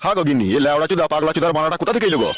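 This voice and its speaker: A man voicing a grumpy, foul-mouthed older father-in-law in a comic cartoon dub, grumbling excitably. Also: a little high, racing, very noisy, lightly acted. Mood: irritated cursing.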